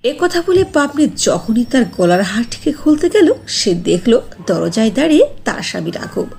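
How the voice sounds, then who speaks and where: A woman narrating a scripted cartoon fairy tale in an animated, expressive way. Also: fairly high pitch, moderate pace, gravelly.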